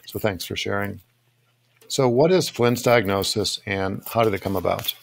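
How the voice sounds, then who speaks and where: A man hosting a podcast, asking questions in a deliberate, courteous, conversational way. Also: lowish voice, gravelly. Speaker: podcast host, interviewer.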